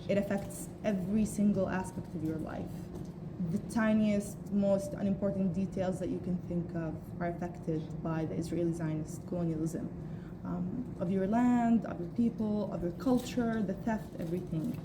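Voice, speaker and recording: A woman's voice: medium pitch, fairly even intonation, moderate pace. Young woman, from palestine, earnest rally speech, hesitant ums, noisy outdoor recording. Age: young adult. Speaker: student speaker at a rally. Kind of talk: unprepared public speech.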